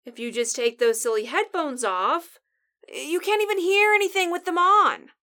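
A bossy survivor , played by a woman, co-hosting a radio show in a comedic audio drama. Her voice is high and bright, with crisp sarcasm and an exasperated, take-charge manner. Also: expressive, moderate pace, full character voice. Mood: irritated.

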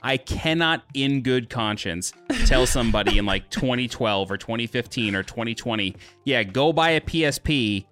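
A man with a bassy voice co-hosting a podcast, blunt and opinionated in casual chat. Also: animated, moderate pace, few pauses, a bit bright, soft background music. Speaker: podcast co-host.

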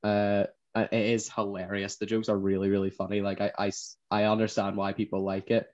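Laughing Northern Irish man, slightly low. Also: monotone, brisk, darkish tone, highs cut off. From Northern Ireland. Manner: laughing often, self-deprecating. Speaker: podcast co-host. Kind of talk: casual chat.

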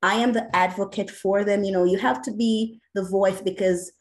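A Jamaican woman, an educator, speaks in an interview with earnest passion. She talks at an even, middle pitch. She fills her sentences with frequent "you know"s. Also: normal speed. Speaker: educator and academic. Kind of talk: interview answer.

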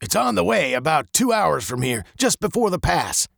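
A man's voice: on the high side, big pitch swings, moderate pace, gravelly, a bit bright, no room sound, clean studio sound, voice pushed up, heavily acted. A man reading aloud and voicing a grim, grieving old sea captain with a grave, storytelling manner.

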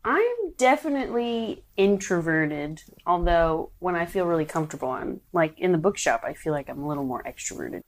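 Thirties woman, soft and hesitant guest, lower pitch. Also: very animated, normal speed, few pauses. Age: 30s. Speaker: podcast guest, bookstore co-owner. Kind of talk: casual interview chat.

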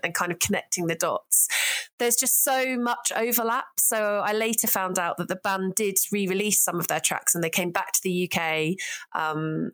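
A woman, an author, talking casually about music history, thoughtful and quick to laugh. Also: mid-range voice, a bit bright. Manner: thoughtful, laughs often, many ums. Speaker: author and guest.

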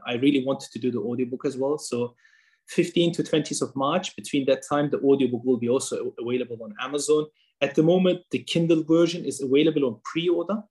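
A man's voice: mid-range voice, darkish tone. A man whose first language is German, talking earnestly in a casual interview from his kitchen table, with frequent fillers and restarts. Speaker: author and guest.

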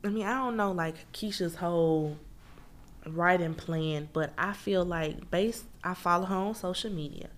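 A woman's voice: fairly low pitch, measured pace. A woman from Memphis hosts a casual book review. She talks to her listeners in a chatty, slangy way. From Memphis, Tennessee.

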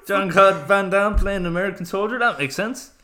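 A man's voice: high voice, brisk, a crowd in the background. A man co-hosting a casual podcast, making blunt, argumentative points with some swearing. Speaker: podcast co-host. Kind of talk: casual film discussion chat.

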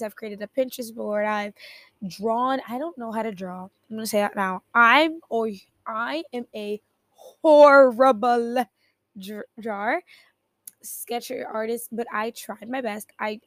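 A young woman hosting a solo podcast, her voice raspy from just waking up, rambling and cutting herself off with little laughs. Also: a little high, no room sound. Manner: rambling, self-interrupting, giggly. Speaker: podcast host. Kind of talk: casual solo podcast chat.

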